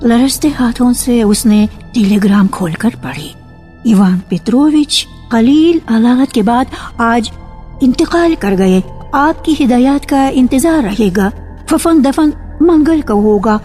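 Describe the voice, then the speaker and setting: A woman narrates a scripted literary tale for radio at a very leisurely pace. Her voice is fairly high yet mellow, with deliberate, expressive emphasis. Faint music sits behind her in the archival broadcast.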